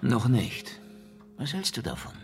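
An actor playing a wry, world-weary middle-aged investigator in a noir radio drama, dry and laconic, with a gravelly voice. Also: male voice, mid-range voice, wildly swooping pitch, unhurried, heavily acted. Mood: probing. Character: wry, hard-boiled, world-weary older investigator in Moscow. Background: music.

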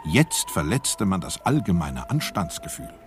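A man's voice: low-pitched, big pitch swings, unhurried, faint music behind. A man narrating a satirical radio play in a solemn, mock-heroic storytelling manner.

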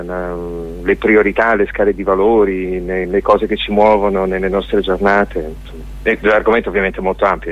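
A man being interviewed on radio as a guest artist, explaining a show discursively and searching for words. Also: sits low. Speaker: guest, theatre and dance artist. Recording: narrow tinny phone-like line.

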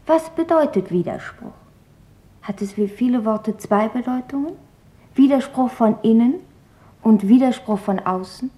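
A woman's voice: on the high side, expressive, a little slow, mellow. An actress playing a thoughtful, earnest teenage girl diarist, reflective and questioning, in a German radio drama. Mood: reflective, wondering. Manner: questioning, reflective reading of her own writing.

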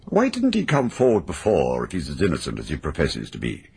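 A senior police officer in a radio drama, clipped and commanding. His voice sits low and rough, with a very lively rise and fall. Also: a little fast, full character voice. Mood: brisk, authoritative.

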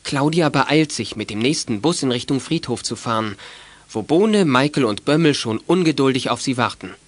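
A man's voice: mid-range voice, expressive, moderate pace, no echo. A man narrating a scripted children's audio drama.

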